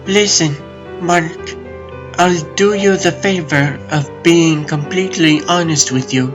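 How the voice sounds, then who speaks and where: A guarded woman, played by a man who takes her time and sounds blunt and unimpressed. Her delivery is curt and sceptical, in a comic dub with clear music behind. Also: on the high side, takes it slowly.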